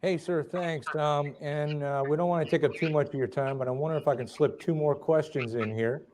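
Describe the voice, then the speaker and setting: A man's voice: fairly high pitch, steady tone, brisk, darkish tone, slight background noise. A man moderating a virtual question session on a video call, speaking deferentially and hesitating often with fillers.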